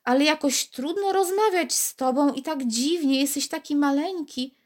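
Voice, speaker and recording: Woman doing an excited little girl. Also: high-pitched, moderate pace, pitched above the performer's own voice, close to an everyday voice. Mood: hesitant. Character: young girl, curious and eager.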